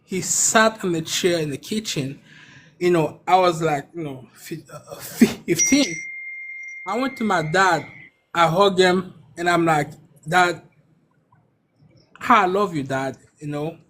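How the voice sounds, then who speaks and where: Halting Haitian-accented man, slightly high voice. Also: fairly bright tone, slight background noise, rough recording. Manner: halting, stammering repetitions. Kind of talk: personal story in casual live chat.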